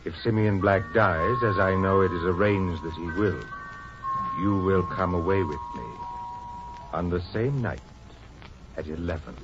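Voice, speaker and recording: A man's voice: low voice, unhurried. An actor playing a gaunt, sinister man with formal, courteous, insistent speech in an old-time radio drama. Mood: calmly menacing. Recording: muffled vintage radio recording with music.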